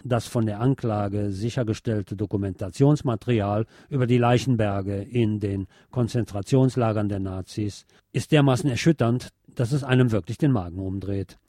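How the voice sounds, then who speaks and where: A man hosting a radio programme, delivering a measured, deliberate film review as a monologue. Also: on the low side, warm tone, dry recording, clean studio sound. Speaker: radio host.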